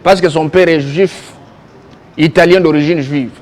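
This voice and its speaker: A man's voice: a little high, fairly even intonation, unhurried, darkish tone. A man with a Congolese French accent, arguing insistently and emphatically as a political guest in a television debate, often repeating himself. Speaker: political guest, youth league president. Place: television studio.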